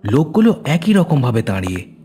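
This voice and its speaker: A man narrating a horror story dramatically, with suspenseful, deliberate phrasing. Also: mid-range voice, big pitch swings, mellow, slight background noise. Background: music.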